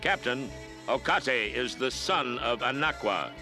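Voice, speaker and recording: An actor in an animated series playing an earnest, upright masked cowboy hero with a measured, heroic manner. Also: male voice, medium pitch, music in the background, close to an everyday voice. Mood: firm.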